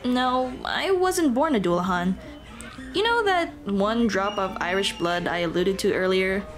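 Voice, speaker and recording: A woman's voice: mid-range voice, unhurried, faint music behind, lightly acted. A woman voicing a cheerful headless Dullahan on a date in a scripted ASMR roleplay, chatting playfully and directly to the listener. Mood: storytelling. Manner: playful, animated, punning, speaking directly to the listener.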